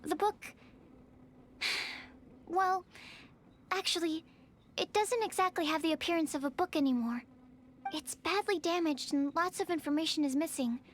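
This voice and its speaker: A woman's voice: high voice, slight background noise, close to an everyday voice. A woman voicing a timid, bookish young female alchemist who speaks politely and carefully in an anime video game. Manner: hesitant, politely formal, careful. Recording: faint music behind the voice.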